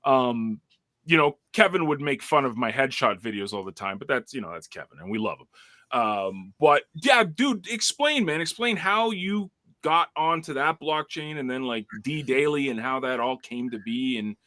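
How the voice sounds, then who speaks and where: A man hosting a talk show, telling a story in an energetic way and often restarting his words. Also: mid-range voice, normal speed, no room sound. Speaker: show host. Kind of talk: casual storytelling chat.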